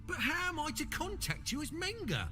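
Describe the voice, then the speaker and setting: High-pitched male shapeshifter, anxious, hesitant, extremely animated, long reverb, video game. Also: normal speed, exaggerated voice. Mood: fretful. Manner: fretful, questioning. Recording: reverberant, with music behind.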